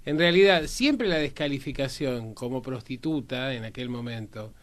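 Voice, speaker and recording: A man with an Argentine accent offers analytical commentary on a history panel. His pitch is average and his wording is measured and formal, though still conversational.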